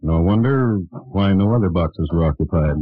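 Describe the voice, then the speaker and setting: A man narrating a historical crime drama for old radio in a measured, deadpan way. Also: low-pitched, dry recording. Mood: dry, wry. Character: dry, wry storyteller of historical crimes. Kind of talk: scripted 1950s radio narration. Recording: muffled old radio recording.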